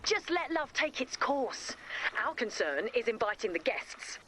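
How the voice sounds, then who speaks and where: A woman's voice: on the high side, sing-song, a little slow, slight background noise, rough recording. A woman playing a spirited, bossy, romantic young heroine in a video game, with an emphatic, upbeat delivery. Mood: earnest, urging. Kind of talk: video game character voice acting. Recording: loud music behind the voice.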